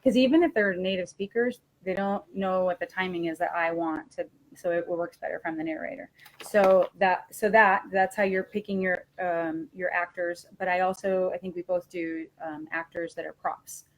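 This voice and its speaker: Lower-pitched woman explaining teaching techniques. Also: steady tone, normal speed, low-quality recording. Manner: conversational, explanatory. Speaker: teacher trainer presenting a webinar. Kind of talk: informal webinar discussion of teaching techniques.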